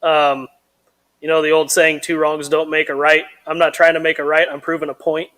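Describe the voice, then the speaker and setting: A man with a somewhat high voice co-hosts a live stream in easy, casual chat. He is blunt and laid-back, and he chuckles often between thoughts. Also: completely flat delivery, moderate pace, fairly bright tone.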